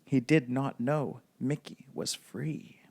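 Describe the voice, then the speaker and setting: A man's voice: mid-range voice, expressive, takes it slowly, gravelly, darkish tone. A man narrating a children's bedtime story as a read-aloud storybook reader.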